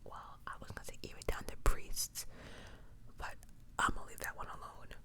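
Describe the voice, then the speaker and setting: Whispering woman, true crime ASMR. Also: normal speed. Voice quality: breathy, unvoiced whisper. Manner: conversational, rambling asides. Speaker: ASMR host.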